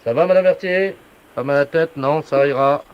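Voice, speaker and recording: Man playing a highish-voiced deadpan official, dull recording. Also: brisk, background noise, close to an everyday voice. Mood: directing, official. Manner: businesslike, instructing, repeated tag questions. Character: brisk, earnest utility official warning residents by phone. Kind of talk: deadpan telephone prank. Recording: dull, lo-fi radio prank recording.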